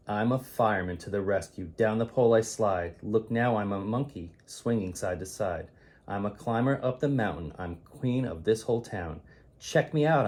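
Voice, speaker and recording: Low-voiced man reading to children, slightly husky. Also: darkish tone. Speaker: teacher reading a picture book aloud. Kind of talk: expressive children's read-aloud of rhyming poems.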